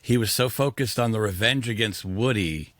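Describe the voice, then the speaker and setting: A man's voice: lowish voice, moderate pace, fairly bright tone, dry recording. A man chatting casually about a film, often checking that he is being followed.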